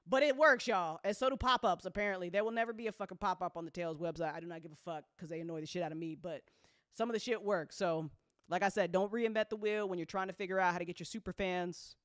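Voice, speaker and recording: A woman's voice: fairly low pitch, fast, a bit bright, no room sound, studio quality. A woman talking casually and off the cuff as an audio drama producer updating fans, candid, colloquial and full of swearing, emphatic when she makes a point.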